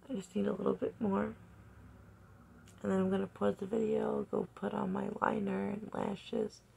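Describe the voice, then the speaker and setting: Raspy, soft-spoken young woman doing makeup. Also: mid-range voice. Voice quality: slightly raspy. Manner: soft-spoken, often near a whisper while working. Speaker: makeup vlogger. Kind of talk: casual makeup tutorial talk. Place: bedroom, indoors. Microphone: phone camera close up.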